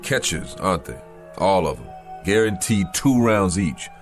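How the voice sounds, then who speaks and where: An actor playing a bitter, short-tempered aging boxer in a radio drama, talking in blunt street vernacular. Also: male voice, low voice, big pitch swings, a little slow, faint music behind. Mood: gruff.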